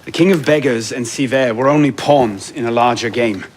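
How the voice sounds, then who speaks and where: Man playing earnest, mid-pitched young assassin. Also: normal speed, a little hiss or hum, close to an everyday voice. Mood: earnest, subdued. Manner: terse, earnest, understated. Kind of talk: video game cutscene acting.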